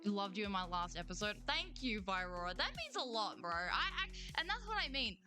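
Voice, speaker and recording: A young Australian woman chats and rants to her stream audience, swearing freely and swinging between gripes and cheer. Her voice is bright and forward, with a low bed of music behind her. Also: sing-song. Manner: swears freely, slangy, quick emotional swings. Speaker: streamer and voice actor. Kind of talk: casual livestream rant and chat reading.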